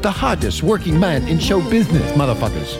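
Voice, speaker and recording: A man's voice: a little high, sing-song, brisk, over loud music. A hungover man hosting a talk show, rambling irreverently with lots of swearing. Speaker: radio show host. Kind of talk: casual talk show banter.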